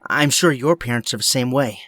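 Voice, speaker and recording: A man's voice: mid-range voice, fairly quick, no room sound, studio quality, lightly acted. A man playing an upbeat, supportive young superhero friend in an audio drama, talking in an encouraging way. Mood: friendly, encouraging. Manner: encouraging, animated.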